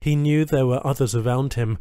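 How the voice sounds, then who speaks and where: A man reads a story as an audiobook narrator. His pitch is middling for a male voice, and the recording is close and dry, with no room sound. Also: steady tone, a little fast, dark tone, smooth, no echo.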